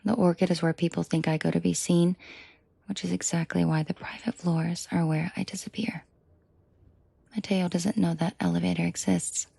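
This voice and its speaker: Husky, gravelly female mafia boss, acted by a woman. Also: on the low side, steady tone, mellow, no room sound, full character voice. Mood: calm, reassuring. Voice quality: breathy, husky, often half-whispered. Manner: slow, deliberate, controlled murmur addressed closely to listener. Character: commanding female mafia boss, protective, possessive, teasingly seductive. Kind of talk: intimate scripted audio roleplay, ASMR-like.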